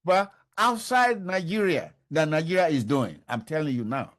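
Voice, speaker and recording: Older man, interview guest, emphatic, repetitive stress, somewhat high pitch. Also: lively intonation, moderate pace, a bit bright. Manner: emphatic, repeats key phrases. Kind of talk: interview answers.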